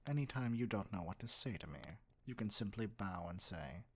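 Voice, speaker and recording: A man playing an arrogant, dominant evil sorcerer king in an ASMR roleplay, speaking in a measured, condescending, breathy near-whisper. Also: fairly low pitch, expressive, slight background noise, close to an everyday voice. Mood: smug, commanding.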